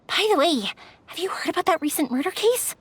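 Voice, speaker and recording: A woman's voice: very high voice, animated, a little fast, a little hiss or hum. A woman playing an eager, earnest young woman in an anime video game. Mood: gossipy.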